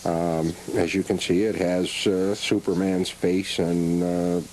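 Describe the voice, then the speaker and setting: A low-voiced man explains old collectibles at an easy, measured pace, often pausing with "uh" as he gathers his thoughts. The audio is old 1980s cable television sound with a faint hiss under it. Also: flat delivery, a little mellow, rough recording. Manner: measured, explains at length with many "uh"s. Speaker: radio premium collector guest. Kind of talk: casual TV chat about collectibles.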